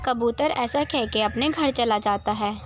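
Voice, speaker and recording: High-voiced woman narrating a children's story. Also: measured pace, faint music behind.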